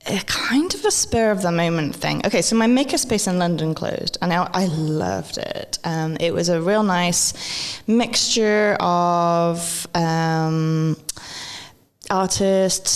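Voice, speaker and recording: A woman from Wales talks in a low, gravelly voice, chatty and quick to laugh, with plenty of ums and restarts. Her voice carries a long reverb from a hall around her. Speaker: guest, maker and educator. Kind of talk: relaxed conversational interview. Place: event venue at a festival.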